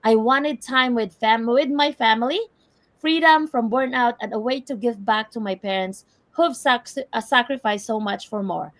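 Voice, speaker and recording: An energetic woman hosts a live online show, speaking in a motivational tone. Her voice sits a little higher than most. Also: normal speed. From Philippines. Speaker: host.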